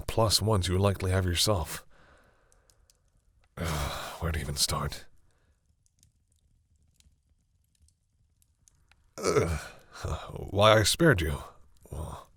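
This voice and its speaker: A man playing a centuries-old vampire in an intimate audio roleplay, speaking formally but haltingly in a husky, breathy voice. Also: low voice, no echo, clean studio sound, full character voice. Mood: composed, explaining. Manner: hesitant, halting, formal phrasing. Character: centuries-old male vampire, courteous, hesitant, commanding with servants.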